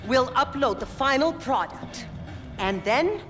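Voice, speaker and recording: A woman playing a smooth-talking, pushy promoter in a game cutscene. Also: sits high, lively intonation, slow pace, long reverb tail, music in the background. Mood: coaxing.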